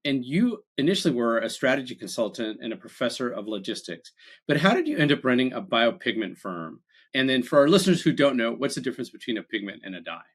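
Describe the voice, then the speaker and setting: A man's voice: medium pitch, sing-song, fast, breathy edge. A man with an everyday mid-range voice hosts a podcast, setting up the episode and leading into his questions. He sounds upbeat and engaged. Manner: upbeat, introduces and asks questions. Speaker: podcast co-host. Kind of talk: podcast hosting.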